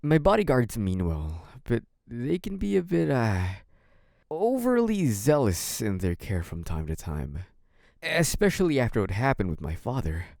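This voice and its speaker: Audio roleplay lead: male actor as young crime-family heir, sly and polite, lively pitch movement, very dry intimate sound. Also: a little slow, creaky, no room sound, heavily acted. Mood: nervous, polite. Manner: hesitant and apologetic act, turning smug and taunting. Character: young mafia heir, feigned naive politeness hiding cunning, possessive menace. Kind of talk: dramatic first-person audio roleplay.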